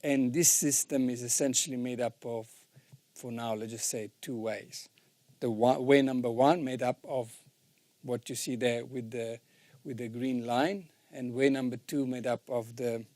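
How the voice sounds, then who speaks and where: Male instructor, mellow relaxed tone, informal explanatory delivery, didactic, checks understanding, dry recording. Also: medium pitch, no echo. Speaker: sound engineering instructor.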